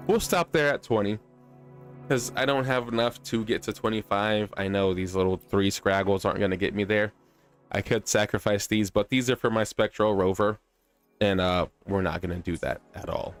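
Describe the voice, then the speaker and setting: Male game streamer, lowish voice, chatty and playful, casual commentary over faint music. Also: moderate pace. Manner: chatty, playful slang, self-deprecating.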